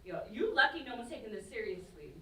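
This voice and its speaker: A woman's voice: on the high side, sing-song, fast, a crowd in the background. A woman with a London accent playing a cheeky, joking young best friend in a staged reading, teasing and slangy with a mock-solemn streak. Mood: sceptical. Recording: distant, echoey stage recording, noisy background.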